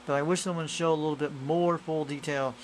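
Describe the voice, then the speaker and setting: Higher-voiced man rambling over background noise. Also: steady tone, quick pace. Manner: repetitive, loosely worded explaining. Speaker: hobbyist radio reviewer. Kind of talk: casual rambling demonstration to camera. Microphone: handheld camera microphone. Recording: clearly noisy amateur camera audio.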